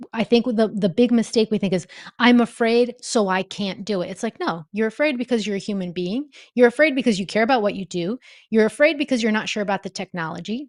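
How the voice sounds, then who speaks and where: Woman guest, professor type; earnest, emphatic explainer with an even middle pitch, dry recording. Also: dry recording. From born in Costa Rica, raised in New Jersey. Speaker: guest, professor teaching on-camera confidence. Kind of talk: interview answers.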